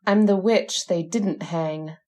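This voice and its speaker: A woman's voice: fairly low pitch, takes it slowly, dark tone. Seductive, commanding witch. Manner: coaxing, imperious, teasing. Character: seductive, commanding immortal witch bride, eerily coy. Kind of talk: old-time radio horror acting.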